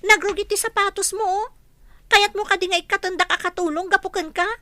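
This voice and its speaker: A young housemaid in an Ilocano radio drama, with a very high, bright voice. She is earnest and deferential, quick to get flustered. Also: steady tone, no echo. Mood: indignant. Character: eager, humble young housemaid, easily flustered.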